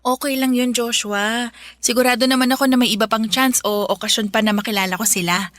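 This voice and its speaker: Highish-voiced, sweet young city woman played by actress. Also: fairly even intonation, fairly quick, a bit bright, no echo, natural voice. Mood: sweet, polite. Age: young adult. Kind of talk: Tagalog audio drama acting. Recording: music behind.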